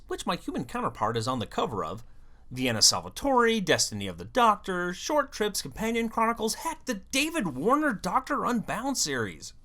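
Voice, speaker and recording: Lively, animated video host with expressive swings. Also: mid-range voice. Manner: animated, dramatic storytelling with wry asides. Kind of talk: scripted fan commentary on an audio drama series.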